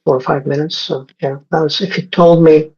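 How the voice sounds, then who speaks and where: Husky, gravelly-voiced man in his sixties. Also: on the high side, steady tone, a little mellow. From Egypt. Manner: halting, many fillers and restarts. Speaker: guest scientist. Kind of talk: casual interview talk. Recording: dull lo-fi sound.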